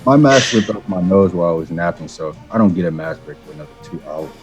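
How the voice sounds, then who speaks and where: A man's voice: low-pitched, quick pace, lo-fi sound, faint music behind, close to an everyday voice. A man playing a cocky, flippant slacker student gamer in an amateur audio drama. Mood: deadpan.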